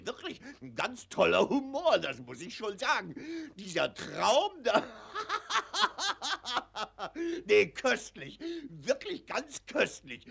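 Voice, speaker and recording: Raspy, blustering old colonel. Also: male voice, high voice, a bit bright, heavily acted. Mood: hearty amusement. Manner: patronising, blustering, hesitant, chuckling. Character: jovial, condescending older German army colonel. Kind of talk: 1947 German radio play acting. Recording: old 1940s radio recording.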